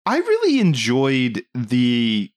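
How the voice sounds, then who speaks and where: A man talking casually as a podcast host, rambling along in an animated, amused way. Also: mid-range voice, takes it slowly. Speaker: film discussion podcast host. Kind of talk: casual movie review chat.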